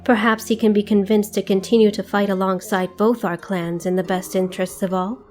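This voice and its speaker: A woman's voice: medium pitch, little pitch movement, fairly quick, darkish tone, faint music behind, lightly acted. A woman playing a proud, ruthless ninja clan leader in a motion comic fan dub, speaking in a formal, imperious and menacing way. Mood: formal, cool.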